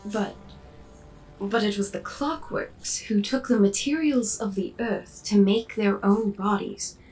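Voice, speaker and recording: A woman's voice: medium pitch, huge pitch swings, takes it slowly, darkish tone, soft background music, heavily acted, poor audio. A woman playing an earnest, insistent pupil in a fantasy audio drama.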